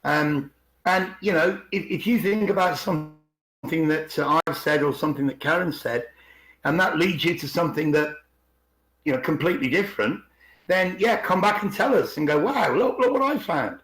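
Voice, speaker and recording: A man co-hosting a podcast, explaining conversationally and slowing down to stress key words. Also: on the high side, expressive, a bit bright. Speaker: podcast co-host. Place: indoors on an online group call. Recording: roomy, echoing online call.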